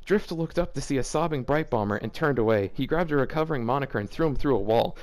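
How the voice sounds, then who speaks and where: A man's voice: mid-range voice, expressive, quick pace, darkish tone. A man hosting a casual read-aloud of fan fiction, breaking it up with incredulous, joking commentary. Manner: incredulous asides breaking up the reading.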